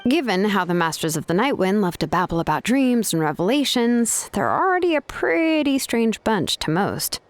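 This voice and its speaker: A woman's voice: medium pitch, sing-song, normal speed, hardly any pauses, dry recording, close to an everyday voice. A woman playing a frank, easygoing young tribeswoman in a fantasy video game, talking casually and conversationally. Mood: casual, musing.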